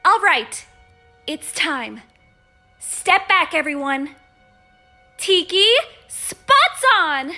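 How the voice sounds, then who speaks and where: A woman voicing a take-charge teenage superhero girl in an audio drama, commanding and energetic. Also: high voice, a little slow, soft background music, full character voice. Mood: urgent, commanding. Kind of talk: fan audio drama acting.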